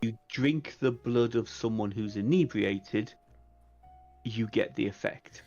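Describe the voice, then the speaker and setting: A man's voice: on the low side, slow, darkish tone, soft background music. Slightly muffled man chatting over a game. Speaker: player in tabletop roleplaying game. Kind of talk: casual in-game discussion.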